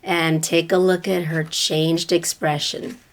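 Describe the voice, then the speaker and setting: A woman teacher reading a picture book aloud expressively and explaining it to children, with deliberate phrasing and questions. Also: low-pitched, level tone.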